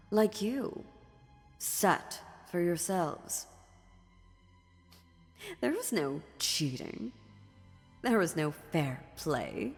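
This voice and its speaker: A woman playing an ancient, sly and dominant female demon in a dark fantasy audio roleplay, taunting theatrically with deliberate pauses. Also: on the low side. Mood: cold, taunting. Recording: long reverb, music underneath.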